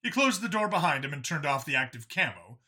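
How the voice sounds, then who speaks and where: A man's voice: on the high side, sing-song, fast, pushed and bright. A man narrating a scripted science fiction story as an audiobook reader.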